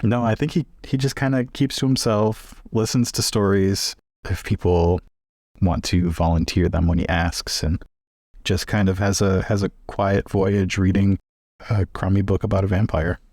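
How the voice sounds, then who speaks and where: A man's voice: low-pitched, flat delivery, normal speed, darkish tone, no echo, clean studio sound. A man playing a tabletop game, casually describing what his character does.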